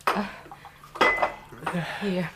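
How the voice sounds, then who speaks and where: An actress playing a kind, self-possessed gentlewoman in a radio drama, genteel and soothing. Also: drawn-out words, heavy background noise.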